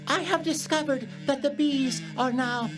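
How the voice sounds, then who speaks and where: A man playing an earnest, slow-witted, mildly pompous little bear in a children's audio drama. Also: extremely high pitch, over music, poor audio. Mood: earnest, scheming.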